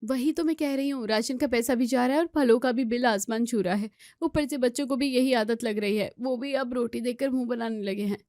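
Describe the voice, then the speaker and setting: Woman acting a fretful young housewife, pitched up. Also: fairly quick, few pauses, darkish tone, no room sound, studio quality, close to an everyday voice. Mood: worried, indignant. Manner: fretful, emphatic. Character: anxious, complaining young Indian daughter-in-law and housewife. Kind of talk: acted Hindi family story dialogue.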